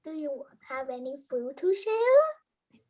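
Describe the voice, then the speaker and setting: Someone playing a high-voiced barking, territorial dog. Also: big pitch swings, takes it slowly, a little mellow, heavily acted. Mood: humble, eager. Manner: barking, theatrical delivery. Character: snarling territorial dog who turns humble and hungry. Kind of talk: amateur dramatic reading of a folktale.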